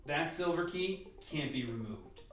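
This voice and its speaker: A man acting in an amateur radio play as a calm, instructive scientist briefing a lab team with measured delivery. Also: a little high. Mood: grave, explanatory. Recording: echoey room, distant mic, faint music.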